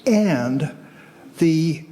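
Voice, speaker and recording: A man speaking informally to an audience as an academic panelist, halting and repeating words as he goes. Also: a little high, lively intonation, mellow. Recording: echoey hall with long reverb.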